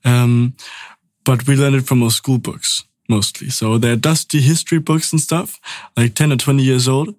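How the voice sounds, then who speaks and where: A man's voice: medium pitch, fairly even intonation, moderate pace, darkish tone, no echo, clean studio sound. Chatty young man. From northern Germany. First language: Danish. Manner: chatty, with many fillers like "um" and "like". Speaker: podcast host. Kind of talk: casual podcast conversation.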